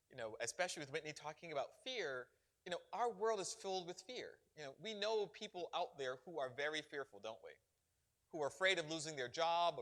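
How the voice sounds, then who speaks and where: Man, bishop, middle-aged, animated, laughing, slightly high voice. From Barbados. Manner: animated, laughs often, preacherly emphasis. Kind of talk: parish Q&A talk.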